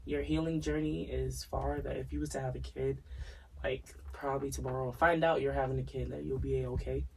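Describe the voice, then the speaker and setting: A raspy man with a congested voice, co-hosting a casual podcast and asking probing personal questions between laughs. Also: mid-range voice, little pitch movement, a little fast, darkish tone, recorded from a distance, slight background noise, poor audio. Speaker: podcast co-host.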